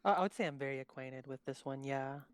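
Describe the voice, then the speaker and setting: Late-twenties woman, deep smooth voice, laid-back hesitant podcast guest. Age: 20s. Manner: unhurried, hesitant with fillers. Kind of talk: casual chat.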